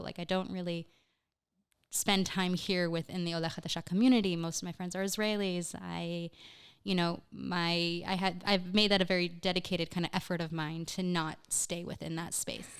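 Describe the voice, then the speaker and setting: Low-voiced chatty young woman. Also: clear, resonant tone. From United States. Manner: chatty, upbeat, laughs often, frequent restarts. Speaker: podcast guest. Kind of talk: casual conversational interview.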